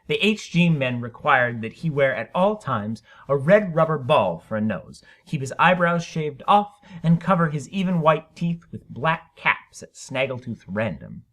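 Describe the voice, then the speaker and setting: A man's voice: medium pitch, fairly bright tone. A man narrating a short story as a dramatic reading, with theatrical, emphatic delivery.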